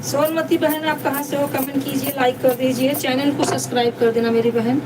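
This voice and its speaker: A woman's voice: high voice, level tone, fairly quick. A woman hosting a casual live stream, chatting repetitively with viewers and addressing them as sisters. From Deoria district, India. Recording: distant mic, noisy background.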